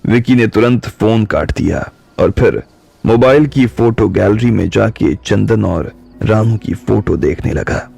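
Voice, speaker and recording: A man narrating a drama audiobook in steady storytelling. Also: on the low side, unhurried, vocal fry, husky, no room sound.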